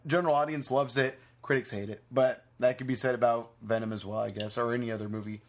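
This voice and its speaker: A man's voice: medium pitch, steady tone, fast. A man hosting a podcast, chatting casually about entertainment in a rambling, hedging way. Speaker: podcast host. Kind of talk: casual movie review chat.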